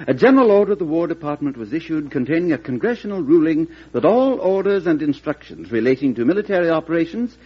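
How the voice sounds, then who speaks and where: A careful, deferential army officer in old-time radio drama. The voice is fairly high and husky, with precise, lively inflection. The sound is lo-fi. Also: male voice. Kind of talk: classic radio drama acting. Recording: dull old radio broadcast.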